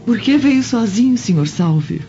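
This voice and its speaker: A proper, prim Roman mother. Her mellow voice moves in lively curves, on a dull radio drama recording. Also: a little high, normal speed. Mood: polite, reproving. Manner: polite, prim. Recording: dull lo-fi audio with music.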